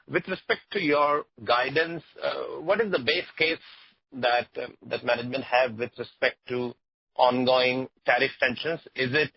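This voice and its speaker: Creaky, husky male analyst on a call. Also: mid-range voice, no echo. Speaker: analyst asking questions. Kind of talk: polite question on a conference call. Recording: dull, dry conference call audio.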